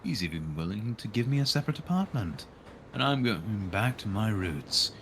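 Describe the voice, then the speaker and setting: A man's voice: on the low side, big pitch swings, normal speed, a bit bright, a little hiss or hum. A man streaming a visual novel, reading it aloud and dropping in dry, irreverent asides. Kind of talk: live read-aloud of a game with casual joking asides.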